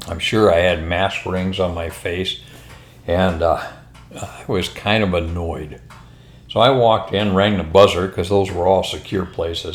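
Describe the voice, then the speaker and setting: An older American man, a former pilot, telling anecdotes in a casual, unhurried, rambling conversational way. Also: sits low, a little hiss or hum. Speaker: retired military and airline pilot telling stories. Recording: roomy, echoing room sound.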